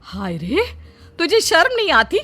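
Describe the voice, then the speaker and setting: A woman's voice: very high voice, wildly swooping pitch, measured pace, a bit bright, full character voice. A woman playing a bossy, sarcastic middle-aged Indian mother-in-law in a cartoon, scolding theatrically. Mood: indignant. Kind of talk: Hindi cartoon story dubbing.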